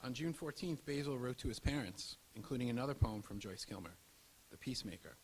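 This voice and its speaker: Male narrator, mid-pitched, scripted history documentary read, faint hiss behind the voice. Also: lively intonation, fairly quick, low-quality recording. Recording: audible background hiss.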